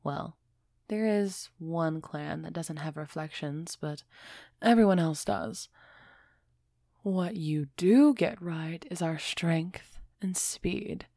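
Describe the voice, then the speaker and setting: A woman playing a refined, centuries-old female vampire in an intimate audio roleplay, gently imperious and softly teasing as she speaks straight to the listener. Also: deep voice, vocal fry, no echo, clean studio sound, heavily acted. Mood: candid, measured. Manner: slow, measured, softly teasing, addressing listener directly.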